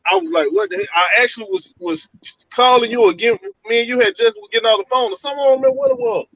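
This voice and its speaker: A man from Georgia telling of a frightening night encounter over a call from his van, in animated, colloquial speech. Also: extremely high pitch, racing, vocal fry, no room sound, highs cut off. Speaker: guest telling an encounter story. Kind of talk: casual storytelling over a call.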